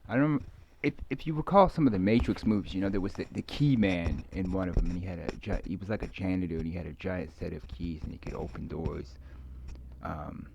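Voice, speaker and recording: A middle-aged man giving a rambling, musing ASMR talk, halting and repeating himself as his thoughts meander and trail off. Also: sits low, vocal fry, noisy background. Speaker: ASMR video creator. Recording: muffled lo-fi close microphone, dry.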